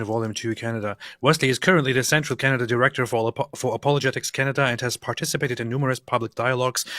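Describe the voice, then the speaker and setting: A man of middle pitch reads a guest's background aloud on a talk show. He adds dry little jokes between credentials. The sound is close and dry. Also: a bit bright, dry recording. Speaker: host. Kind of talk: reading a guest bio aloud, casual chat.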